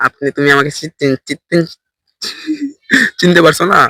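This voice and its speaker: A man's voice: a little high, steady tone, moderate pace, bright, forceful tone. A man with a Bangladeshi accent doing casual prank-call banter as host, teasing and often repeating or stumbling over his words.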